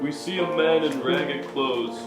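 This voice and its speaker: A man's voice: on the high side, measured pace, over loud music, close to an everyday voice. A man reading scripted stage directions at a live musical reading in an echoey hall. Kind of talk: scripted present-tense stage narration.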